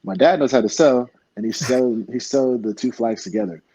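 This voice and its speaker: A man's voice: medium pitch, moderate pace, dark tone, slightly rough, dry recording, poor audio. A young man from Florida telling earnest, heartfelt stories in a casual interview, full of fillers and restarts. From Florida, United States. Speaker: MMA fighter guest.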